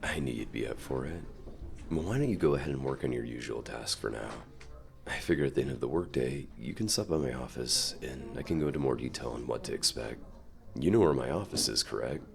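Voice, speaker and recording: A man playing a suave, dominant company CEO in an ASMR roleplay, speaking to the listener with measured, polite, quietly controlling reassurance and deliberate pauses. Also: very deep voice, gravelly. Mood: courteous, inviting. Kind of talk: ASMR roleplay acting, addressing the listener directly.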